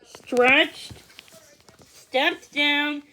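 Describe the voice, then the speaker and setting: Woman w a high voice reading a kids storybook out loud, bit halting, repeats words sometimes. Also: slight background noise, low-quality recording. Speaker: storybook reader. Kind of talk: read-aloud children's story narration.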